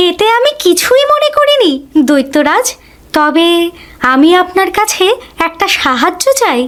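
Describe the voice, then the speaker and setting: Female actor as young fairy-tale cartoon heroine, very high voice, swooping intonation, polite, earnest. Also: very animated, a little slow, a bit bright, exaggerated voice. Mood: humble. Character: brave, humble, polite young girl on a quest.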